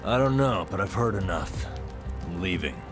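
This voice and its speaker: An actor playing a bitter, world-weary, guilt-ridden man who is gruffly protective, in a blunt, cynical video game performance. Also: low voice, expressive, moderate pace, music in the background. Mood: dismissive. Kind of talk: dramatic video game voice acting.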